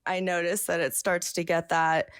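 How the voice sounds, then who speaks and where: A woman from West Virginia calling in to a podcast late at night, talked out, with vocal fry, chatting enthusiastically and speeding up when excited. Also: on the low side, moderate pace. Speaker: caller and guest. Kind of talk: casual call-in podcast chat. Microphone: video call.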